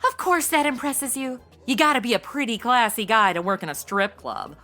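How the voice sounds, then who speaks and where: A woman's voice: on the high side, moderate pace, bright, forceful tone, faint music behind. A woman playing a sassy, shallow young party girl sidekick in a comedy audio drama, sarcastic and blunt, with modern slang. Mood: flippant, sarcastic.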